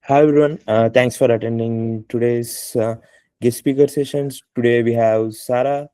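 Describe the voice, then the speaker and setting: A man hosting a session, introducing a guest speaker over a video call in a relaxed way with fillers. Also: medium pitch, moderate pace, no room sound. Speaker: host.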